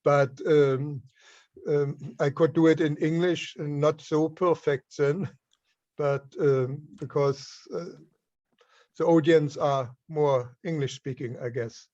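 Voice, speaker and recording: A man's voice: a little high, steady tone, darkish tone, dull audio. A man with a German accent, a native German speaker, talking hesitantly in an online meeting over a video call. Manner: hesitant, many fillers, slow. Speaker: author and meeting participant. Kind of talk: casual video call discussion.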